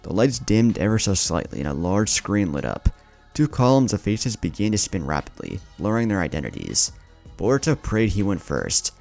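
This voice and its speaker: A man's voice: on the low side, sing-song, moderate pace, vocal fry, a little mellow, soft background music. A man reading a dramatic scripted story as its narrator.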